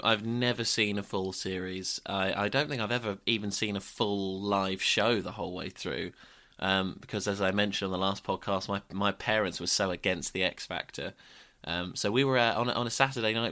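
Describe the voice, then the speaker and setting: Bright-voiced, laughing podcast co-host. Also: male voice, on the low side, fairly even intonation, brisk, few pauses. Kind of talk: casual podcast chat.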